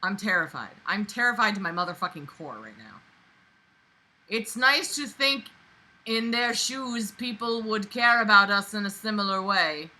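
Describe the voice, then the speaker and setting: A woman reading aloud and commenting with exasperated, animated, emphatic reactions that swing dramatically in intensity. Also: medium pitch, moderate pace, poor audio.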